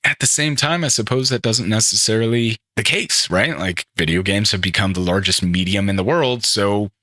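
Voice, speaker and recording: Bright-voiced man hosting a solo podcast. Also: lowish voice, moderate pace, no room sound, clean studio sound. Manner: rambling, self-correcting, enthusiastic. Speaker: podcast host. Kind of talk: solo casual gaming podcast commentary.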